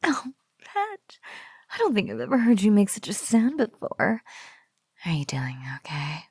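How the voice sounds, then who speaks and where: A woman playing a teasing, doting spider-woman captor in an ASMR roleplay, speaking softly in breathy whispers and lingering playfully over the words. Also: mid-range voice, wildly swooping pitch, dry recording, studio quality, heavily acted. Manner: slow, soft, breathy, lingering teasing delivery.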